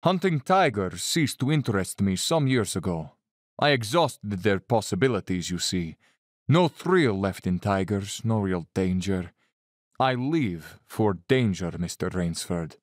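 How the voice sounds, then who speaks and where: A courtly Cossack general in an audio drama, with a lowish voice that rises and falls freely. He is affable, leisurely and self-satisfied, with menace under the polish. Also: expressive, unhurried, no echo, studio quality. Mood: smug, ominous.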